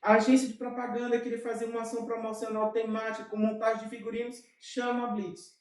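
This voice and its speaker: A man with a Brazilian Portuguese accent, a guest in an interview, telling his story in an animated way with many asides. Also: very high-pitched, recorded from a distance. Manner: animated, with frequent fillers and quick asides. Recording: echoey room, off-mic.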